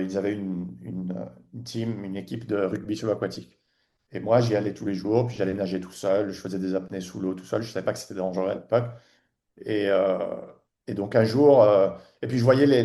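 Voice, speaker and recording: Podcast guest, man in his forties from Tunisia; mellow, halting delivery full of fillers. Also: lowish voice. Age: 40s. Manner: halting, many restarts and fillers. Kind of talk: casual interview chat.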